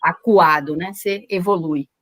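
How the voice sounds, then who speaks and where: A woman's voice: on the low side, normal speed, a crowd in the background. A woman taking part in a webinar over a video call, speaking in an encouraging way and often repeating her words. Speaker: webinar participant. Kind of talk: conversational remarks.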